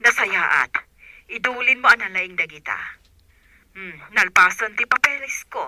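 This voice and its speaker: Radio drama aunt played by a woman: calm, shrewd Ilocano adviser, mid-range voice, clicky noisy call sound. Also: medium pitch, unhurried, lightly acted. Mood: cautioning.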